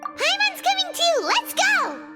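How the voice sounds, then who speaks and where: A woman voicing a small, cheery, childlike fairy companion with a squeaky voice in a video game. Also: huge pitch swings, slow, long reverb tail, music in the background, full character voice.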